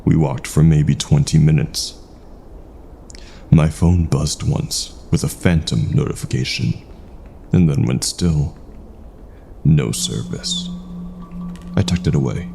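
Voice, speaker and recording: Male horror narrator: dark, mellow tone, very slow and suspenseful, heavy vocal fry. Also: a bass voice, a little hiss or hum. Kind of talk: scripted first-person horror narration.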